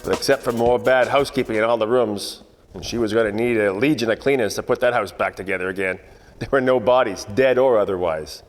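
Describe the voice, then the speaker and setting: A man's voice: lowish voice, a little fast, close to an everyday voice. Man playing a wry 1940s private eye, reverberant. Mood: weary, sardonic. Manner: wry, hard-boiled delivery. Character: wisecracking, world-weary 1940s male private eye. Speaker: actor narrating the lead part. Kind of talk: 1940s radio detective narration, parody. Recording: long hall reverb, music underneath.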